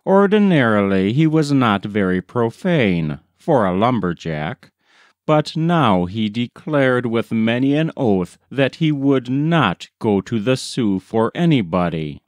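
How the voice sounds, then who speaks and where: A man reads a short story aloud as an audiobook narrator. His pace is slow and his pitch moves expressively up and down. The recording is dry and close, with little room sound. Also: sing-song, no echo.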